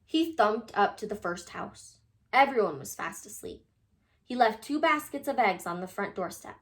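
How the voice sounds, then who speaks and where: A woman narrating a children's picture book as an expressive read-aloud storyteller. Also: mid-range voice, moderate pace.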